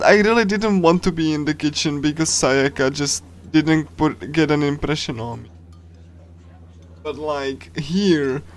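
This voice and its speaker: A man streaming a game, chatting casually and reading along in a rambling, halting way with repeated phrases. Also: fairly high pitch, a little hiss or hum. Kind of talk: casual livestream chat while reading and translating a game.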